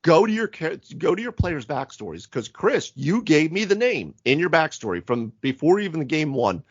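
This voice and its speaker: A man's voice: a little high, sing-song, normal speed, husky, dry recording, muffled recording. A man chatting casually about tabletop games as a podcast host, retelling moments and acting out dialogue. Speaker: podcast host and game master. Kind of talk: casual tabletop gaming chat.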